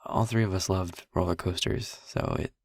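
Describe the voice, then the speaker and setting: A man playing a gentle vampire boyfriend in an intimate audio roleplay, confiding a painful past in a soft, halting way. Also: steady tone, dry recording, clean studio sound. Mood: wistful, reminiscing. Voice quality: husky, gravelly, breathy low voice.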